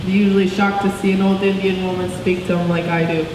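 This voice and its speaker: A woman's voice: fairly low pitch, flat delivery, quick pace, a little mellow, close to an everyday voice. Woman reading as a proud old grandmother, echoey hall. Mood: earnest. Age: older. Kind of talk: reading a book aloud. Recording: echoey hall, distant mic, some noise.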